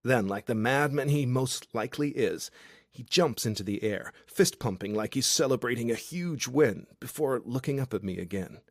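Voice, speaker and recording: A man's voice: medium pitch, moderate pace, dry recording, clean studio sound, natural voice. A man voicing a cocky, tipsy young man from the outback, speaking in a brash, teasing, sing-song way.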